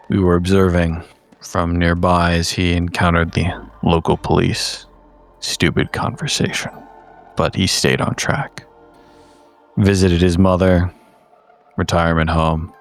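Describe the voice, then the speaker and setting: A man acts a cold surveillance agent in a horror roleplaying game. The voice is very low and barely moves in pitch. He reports in terse, deadpan fragments, with music underneath. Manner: clipped, monotone, deadpan.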